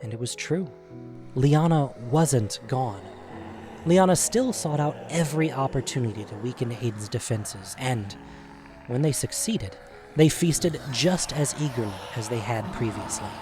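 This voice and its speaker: A man narrating a scripted audio drama in an expressive, measured storytelling manner. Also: mid-range voice, soft background music.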